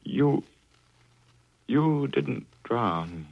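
An actor in 1940s radio drama voicing an injured, guilt-ridden murderer who stammers in panic. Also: male voice, mid-range voice, lively intonation, very slow, vocal fry, exaggerated voice. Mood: weak, dazed. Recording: lo-fi old radio broadcast with faint hiss.

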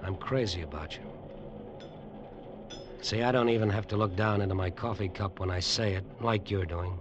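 An actor playing a cocky, scheming American detective in a tough, clipped, sardonic 1950s radio noir style. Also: male voice, low voice, moderate pace, highs cut off, close to an everyday voice. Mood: cocky, needling. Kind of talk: 1950s radio noir acting, first-person narration and dialogue. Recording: noisy old radio drama recording.

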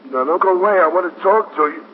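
A man's voice: sits high, natural voice. Guy acting a stubborn middle-aged american dad, stammery and defensive, thin hissy 50s radio sound. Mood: stunned, grieving. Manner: halting, repeating himself. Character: stubborn, defensive father of a teenage boy, shaken. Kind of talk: 1950s radio drama acting. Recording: muffled, hissy 1950s radio recording.

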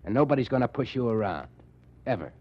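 An actor playing a cocky, folksy tough gang boss in slangy, ungrammatical talk, in 1940s radio style. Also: male voice, medium pitch, big pitch swings, quick pace. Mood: protective, insistent. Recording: muffled old 1940s radio recording.

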